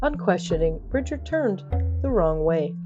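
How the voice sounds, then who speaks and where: A woman's voice: fairly low pitch, big pitch swings, unhurried, lo-fi sound. A woman storyteller narrating a comic fantasy tale in a dramatic scripted style. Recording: background music under narration.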